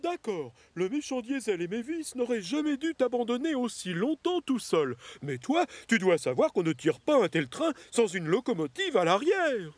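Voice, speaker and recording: An actor playing a bossy, fussy railway controller in a children's cartoon, authoritative and easily flustered. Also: male voice, very high voice, sing-song, moderate pace, hardly any pauses, dry recording, exaggerated voice. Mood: scolding. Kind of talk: dubbed children's cartoon acting. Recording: music behind the voice.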